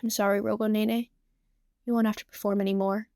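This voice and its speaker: A woman's voice: medium pitch, level tone, brisk, vocal fry, no room sound, clean studio sound, lightly acted. A woman voicing a shy, self-blaming teenage girl in a story reading, hesitant and prone to stammering. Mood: ashamed. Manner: hesitant, stammering, soft-hearted.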